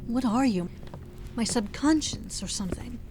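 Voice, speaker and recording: A woman playing a wary young woman exploring a dark house in an audio drama, nervous but wry. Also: a little high, lively intonation, normal speed, background noise, natural voice. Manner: nervous, wry, self-talking.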